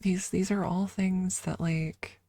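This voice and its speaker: Female ASMR streamer: lowish, creaky voice, soft casual chat slipping in and out of whispers, close-miked. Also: fairly even intonation, no echo. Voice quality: breathy, soft. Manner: halting, informal, drifting between whisper and soft talk. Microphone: close microphone.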